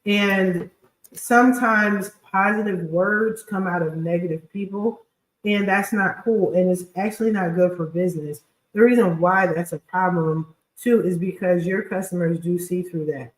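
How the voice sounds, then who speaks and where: A woman's voice: fairly low pitch, normal speed, echoey room. A woman hosting a casual, chatty livestream with a scratchy voice and blunt, slangy, informal talk. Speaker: podcast host and credit repair specialist.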